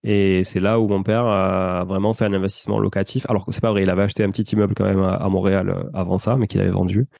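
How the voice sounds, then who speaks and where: A man's voice: low-pitched, level tone, quick pace, vocal fry, hardly any pauses, darkish tone, dry recording, clean studio sound. A man chatting informally and explaining at length as a guest in an interview. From France, of Portuguese descent. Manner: informal, talkative, explanatory, slangy. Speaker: interview guest, entrepreneur and property investor. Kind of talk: casual conversational interview, recounting own experience.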